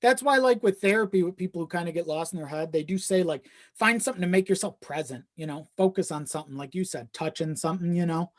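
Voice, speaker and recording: A man's voice: sits high, fast, hardly any pauses. A man chatting casually as a podcast guest, often checking in with the listener as he goes. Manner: conversational, frequent you-know check-ins.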